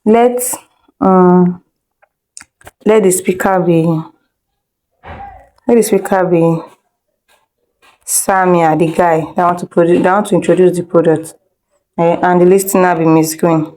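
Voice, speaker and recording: A woman's voice: lowish voice, fairly even intonation, unhurried, pauses often, dark tone. A woman teaching an informal step-by-step screen tutorial, instructing directly, repeating herself and checking that learners follow. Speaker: video editing instructor.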